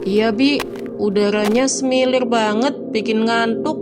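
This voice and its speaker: A woman voicing a lively, talkative young mother in a cartoon. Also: on the high side, unhurried, close to an everyday voice. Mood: chatty. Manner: casual and chatty. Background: music.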